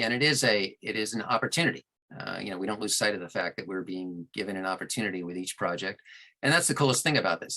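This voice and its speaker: A man with a fairly low voice and a very bright, projected edge talks as a guest in an interview. He explains his creative work expansively, leaning on key words with clear enthusiasm. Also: poor audio. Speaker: interview guest, audiobook narrator and producer. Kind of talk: conversational explanation of craft.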